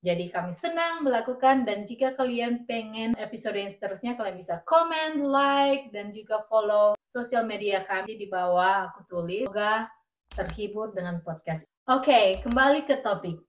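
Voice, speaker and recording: A woman's voice: mid-range voice, lively intonation, normal speed, reverberant. A woman with a Batak accent hosting a podcast in a cheerful, chatty way. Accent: Batak-tinged Indonesian. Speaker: podcast host. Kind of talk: casual podcast chat.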